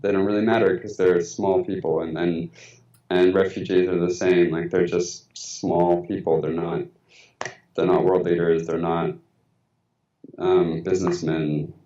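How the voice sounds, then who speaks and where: Man, American accent, guest, thoughtful, hesitant, very low pitch, roomy echo, dull phone sound. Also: little pitch movement, unhurried, mellow. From Mexico. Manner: thoughtful, hesitant, many fillers. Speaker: guest writer. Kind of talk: phone-in interview. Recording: tinny echoey phone line.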